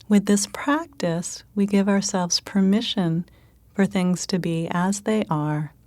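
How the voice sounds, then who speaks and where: Female meditation guide: calm, gentle, very unhurried, slightly low voice, dry intimate recording. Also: no echo.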